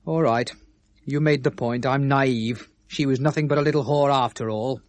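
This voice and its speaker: A man's voice: mid-range voice, fairly even intonation, normal speed, no room sound, close to an everyday voice. A man acting in a 1970s British radio drama, playing a proud, defensive photographer with an indignant, insistent manner. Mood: tense, defiant. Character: proud, defensive male picture-postcard photographer under questioning. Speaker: actor in a radio play.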